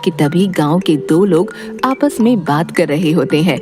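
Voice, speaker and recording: A woman's voice: on the low side, animated, unhurried, over music. A woman narrating a scripted children's folk story.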